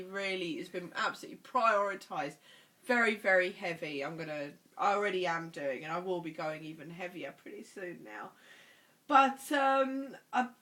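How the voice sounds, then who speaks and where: Chatty woman with a stuffy cold. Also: medium pitch. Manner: chatty, animated. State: congested with a cold. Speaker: vlogger. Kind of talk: casual chat.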